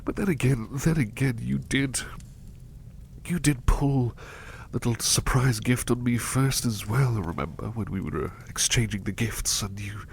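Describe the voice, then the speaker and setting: A man playing a gentle, devoted butler in a soft ASMR roleplay, bashful and effusively polite, repeating his phrases in a halting, hushed way. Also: mid-range voice, gravelly, a little hiss or hum, lightly acted. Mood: fond, grateful. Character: gentle devoted male butler, bashful, eager to please, doting.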